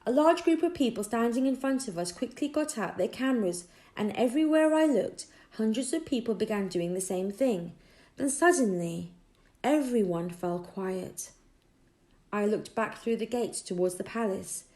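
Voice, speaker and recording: A woman narrating a children's audiobook expressively, with deliberate storytelling phrasing. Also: on the high side, animated, mellow. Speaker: audiobook reader.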